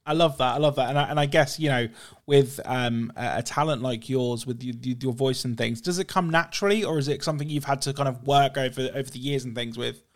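A man's voice: mid-range voice, brisk. A man interviewing a musician in casual chat, enthusiastic, leaning on "you know" and "absolutely".

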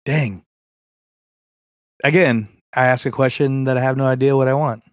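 A man's voice: mid-range voice, steady tone, normal speed, dry recording, studio quality. A man co-hosting a podcast in casual chat, informal and joking, with some self-deprecation. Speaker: podcast co-host.

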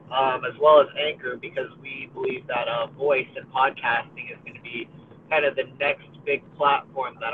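A man's voice: medium pitch, a little hiss or hum. Upbeat young man over a tinny line. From United States. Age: college age. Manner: friendly, upbeat, many fillers and restarts. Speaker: podcast co-host. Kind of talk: casual conversation.

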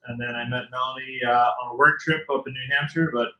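A man from Massachusetts, guesting on a podcast and telling his story in a relaxed, unhurried way. His voice is bright and forward, and it rings in a roomy space. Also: mid-range voice. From Massachusetts, South Shore. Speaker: guest, business co-founder. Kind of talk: casual interview chat.

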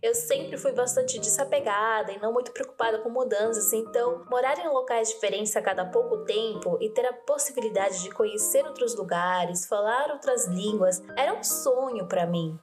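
Woman telling her story over soft music. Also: on the high side, animated. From Brazil-Uruguay border. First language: Portuguese and Spanish. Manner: reflective, measured storytelling. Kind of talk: personal storytelling.

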